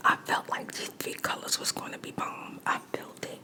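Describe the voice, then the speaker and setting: A woman's voice: normal speed, pushed and bright. A woman whispering throughout as she tells a personal story while doing her makeup. She repeats phrases for emphasis and talks straight to her viewers. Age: adult mother. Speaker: makeup vlogger.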